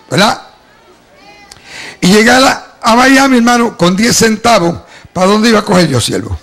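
A man's voice: high voice, a bit bright. A middle-aged man from Puerto Rico with a Puerto Rican Spanish accent with some Cuban, telling his testimony to a church congregation into a handheld microphone, often calling listeners "beloved.". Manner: storytelling, addresses the congregation as "amado". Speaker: preacher giving a testimony. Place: church hall before a congregation.

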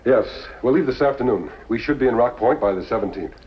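A man's voice: mid-range voice, lively intonation, quick pace. A man playing an upright, courteous masked cowboy hero with firm, formal diction in 1950s western style. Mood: earnest, polite. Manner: firm, formal, clearly articulated. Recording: muffled vintage TV soundtrack, faint music.

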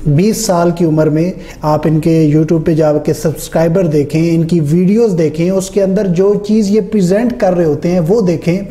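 Male host, long formal questions, very dark slightly raised voice, roomy studio. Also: a little high, fairly even intonation, moderate pace, hardly any pauses. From Pakistan. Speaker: interview host. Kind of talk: interview questions. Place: small studio room, indoors. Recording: echoey small room.

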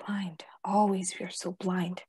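A woman playing a solemn, insistent vampire-hunting professor in a radio play, husky and emphatic. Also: on the low side, little pitch movement, measured pace, a little mellow, no echo, clean studio sound. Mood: urgent, solemn. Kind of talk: stage-style radio play acting.

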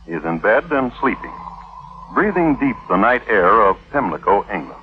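An actor playing a wry, morbidly droll host narrating true crime in deadpan, deliberate 1950s radio style. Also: male voice, fairly low pitch. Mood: deadpan, macabre. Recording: tinny vintage 1950s radio broadcast.

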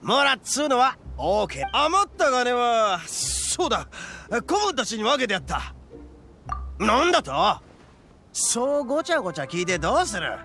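A man voicing a brash, boastful young oni gang boss in an anime game, with cocky, blustering, rough street talk. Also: very high voice, sing-song, normal speed, bright, forceful tone, full character voice. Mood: defiant.

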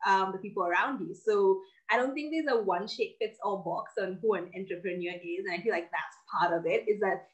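A woman's voice: mid-range voice, fairly quick, hardly any pauses, poor audio. Confident young woman from Kenya on a video call. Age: 20s. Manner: confident, articulate, laughs easily. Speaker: guest. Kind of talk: casual interview over video call. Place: home office with bookshelves. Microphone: laptop webcam on video call.

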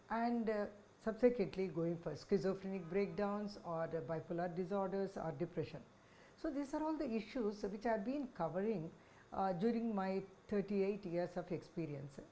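A woman in her sixties with an Indian accent, speaking as a senior psychiatrist in a measured, explanatory talk to camera. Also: medium pitch, warm tone, a little hiss or hum. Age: 60s. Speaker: senior child psychiatrist, head of department. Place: small office, indoors. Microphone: lapel microphone.

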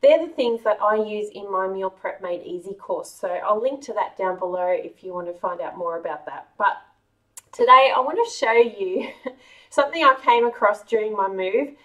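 A woman's voice: medium pitch, expressive, moderate pace, a bit bright, distant mic, rough recording. A middle-aged woman with an Australian accent, hosting a cheerful, chatty home cooking vlog. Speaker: cooking channel host. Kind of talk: casual kitchen vlog. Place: large home kitchen. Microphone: camera a few meters away.

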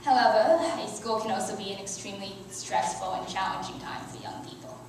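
A woman's voice: medium pitch, a little fast, noisy background. Teen girl, earnest youth sermon, heard from afar in a very reverberant church, faint ambience. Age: teens. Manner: earnest, with soft laughs and small restarts. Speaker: youth speaker. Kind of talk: reading a prepared sermon. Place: church sanctuary. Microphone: headset mic, filmed by far camera. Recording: very echoey church from far off.

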